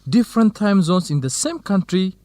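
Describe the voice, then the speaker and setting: Playful easygoing friend, animated, higher-pitched, dry radio drama sound. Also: male voice, moderate pace, dry recording. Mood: joking. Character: Helen's joking, easygoing male companion puzzled by America. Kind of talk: English-learning radio drama acting.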